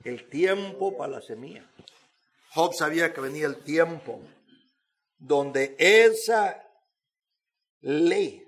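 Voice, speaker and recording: High-pitched man preaching emphatically. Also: animated, takes it slowly, pauses often. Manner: emphatic, repetitive, rhetorical questions and phrases. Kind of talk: sermon.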